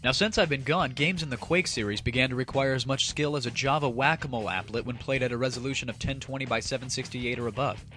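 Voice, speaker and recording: A man's voice: medium pitch, fast talker, few pauses, sharp tone. A man hosting a gaming audio show with a sarcastic, theatrical, mock-grandiose comic monologue. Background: music.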